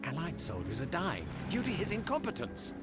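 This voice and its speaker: A man's voice: a little high, huge pitch swings, moderate pace. An actor playing a genteel British army officer who briefs his colonel in a video game, proper and drily understated. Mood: measured, cautioning. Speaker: military adviser in a war game. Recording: loud music under the voice. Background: loud game music.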